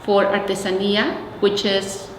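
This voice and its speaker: A woman's voice: mid-range voice, level tone, a little slow. Mexican-accented woman in an echoey room. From Mexico City, Mexico. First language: Spanish. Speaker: interviewee, arts nonprofit representative. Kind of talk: oral history interview, explanatory. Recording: echoey reverberant room, faint noise.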